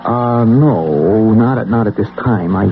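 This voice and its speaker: An actor playing an anxious, obsessed working-class everyman in a radio drama, with colloquial, halting, stammering speech. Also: on the low side. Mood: nervous pleading. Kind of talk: old-time radio drama acting. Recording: tinny, noisy old radio broadcast recording.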